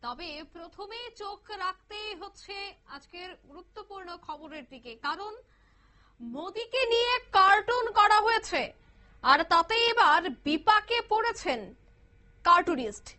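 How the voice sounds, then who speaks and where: A woman's voice: very high-pitched, animated, a little slow, sharp tone. A woman news anchor presenting scripted news in a formal, emphatic way.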